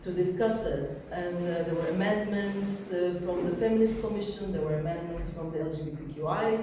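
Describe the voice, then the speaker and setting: A woman whose first language is Persian speaks informally to a workshop group, relaxed and full of fillers. Her voice is mellow. It is caught from a distance in a very echoey room. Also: on the low side, brisk, a little hiss or hum. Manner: relaxed, explains with many fillers.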